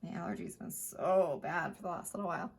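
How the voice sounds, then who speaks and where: A woman art teacher giving a chatty step-by-step painting lesson, her voice hoarse. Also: lowish voice, steady tone, brisk. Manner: chatty, plain instructional talk.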